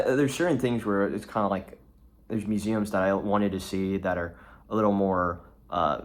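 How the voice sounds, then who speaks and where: A young man from Texas, rambling through a solo vlog with lots of restarts and fillers. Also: lowish voice. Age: young adult. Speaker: vlogger.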